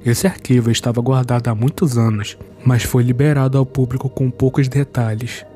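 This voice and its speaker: A man's voice: medium pitch, level tone, normal speed, dark tone, soft background music. A man with a Brazilian Portuguese accent giving a scripted narration that recaps a horror series.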